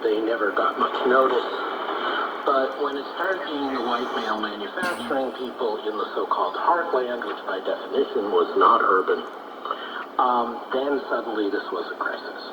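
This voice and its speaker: A man's voice: medium pitch, normal speed, far from the mic. An American man giving analytical commentary in a wordy, academic, self-correcting manner. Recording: muffled, echoey clip over noisy radio.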